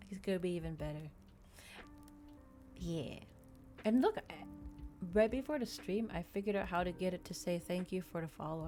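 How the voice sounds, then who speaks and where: A woman's voice: lowish voice, a little mellow. A woman hosting a livestream, chatting casually with viewers in a rambling way, often repeating and restating her phrases. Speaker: VTuber livestream host.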